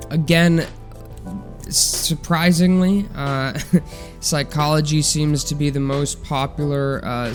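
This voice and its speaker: A young man hosting a solo science podcast, chatting casually with a congested, nasal voice and lots of ums and restarts. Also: mid-range voice. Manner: rambling, filler-heavy, relaxed. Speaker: podcast host.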